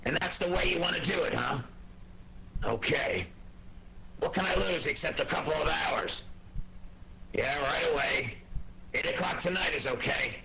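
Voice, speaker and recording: Gruff impatient old-radio cop, cutting voice, bit high, light noise on the recording. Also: male voice, moderate pace, long pauses, sharp tone, poor audio. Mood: brisk, gruff. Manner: blunt, needling, impatient. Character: gruff, sarcastic police inspector in a detective drama. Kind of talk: 1940s radio acting.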